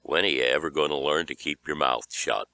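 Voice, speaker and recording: A man's voice: rumbling low, a little fast, bright, forceful tone, smooth, no room sound, pitched below the performer's own voice, full character voice. A man voicing a timid, nagging schoolboy friend in a children's audiobook. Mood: reproachful.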